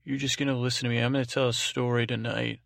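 Creaky-voiced man rambling a bedtime monologue. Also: medium pitch, level tone, fairly bright tone, no room sound, studio quality. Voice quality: creaky, gravelly. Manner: halting, meandering, repeats and trails off; lulling, soothing. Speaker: podcast host. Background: music.

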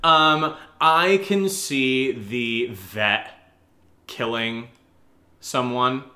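Bright-voiced man in a roomy space. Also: medium pitch, very slow. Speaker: podcast co-host. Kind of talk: casual banter answering listener questions. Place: indoor room, live-streamed session. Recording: roomy, echoing room sound.

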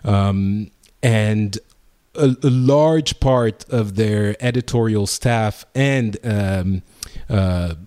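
A man with a French accent hosts a casual group discussion. His voice sits low, and he talks slowly, with many fillers and restarts. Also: mellow. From France. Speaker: podcast host.

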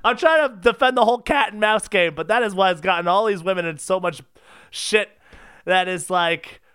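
A man's voice: high voice, moderate pace, sharp tone, clean tone. A man co-hosting a comedy podcast, casual and jokey, often close to laughing as he talks. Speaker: co-host.

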